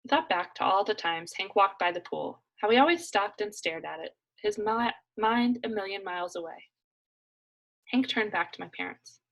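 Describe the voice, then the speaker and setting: Lady doing a read aloud for kids, medium pitch, expressive storytelling. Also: moderate pace, gravelly, poor audio. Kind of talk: expressive children's book narration.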